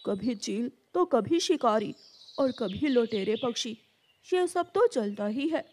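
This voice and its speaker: A woman voicing a selfless, protective father bird in a cartoon story, gentle with the family. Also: fairly high pitch, animated, slow pace, slight background noise. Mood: unworried.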